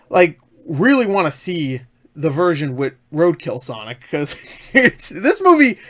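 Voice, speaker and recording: A man with a fairly high voice talks to camera as an online movie reviewer. He is enthusiastic and jokey, rambling casually and breaking off into small asides as he goes. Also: a bit bright. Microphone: webcam setup.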